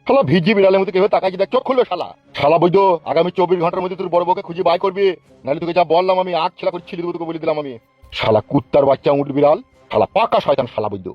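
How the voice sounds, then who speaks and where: Man playing a fast, blustering village politician. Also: high voice, no room sound. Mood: angry, blustering. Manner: scolding, cursing, blustering. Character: angry foul-mouthed male village politician, bullying and threatening. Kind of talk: comic cartoon dubbing. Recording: dull lo-fi, music behind.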